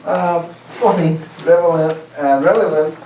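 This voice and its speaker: A man's voice: on the high side, fairly even intonation, mellow. Distant, echoey man telling funny stories. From Costa Rica. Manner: laughs at own stories, halting restarts. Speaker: contest speaker. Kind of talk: humorous contest speech. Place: club meeting room. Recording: roomy, distant mic, faint hiss.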